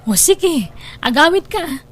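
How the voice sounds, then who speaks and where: A woman's voice: high voice, big pitch swings, slow, exaggerated voice. A woman playing a kindly, practical restaurant boss in a radio drama, reassuring and questioning. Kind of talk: Ilocano radio drama acting.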